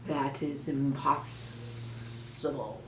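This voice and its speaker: A woman voicing a sly, menacing male snake in a storybook, falsely caring, with a hushed, breathy hiss and drawn-out delivery. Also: rumbling low, steady tone, echoey room, distant mic, voice pushed down, heavily acted. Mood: dismissive. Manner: slow, drawn-out, sinister delivery.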